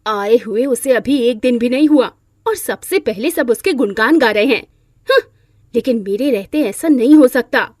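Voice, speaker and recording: A woman's voice: fairly high pitch, lively intonation, normal speed, a little mellow, no room sound, clean studio sound. A woman voicing a rich, jealous, scheming daughter-in-law in a moral story, scornful and boastful. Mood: spiteful, scheming. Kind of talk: Hindi moral-story character acting.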